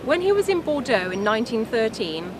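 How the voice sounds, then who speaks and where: A woman's voice: a little high, big pitch swings, fairly quick. A woman presenting a local history tour as scripted narration, recorded outdoors in town streets. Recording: outdoor location sound with clear traffic noise.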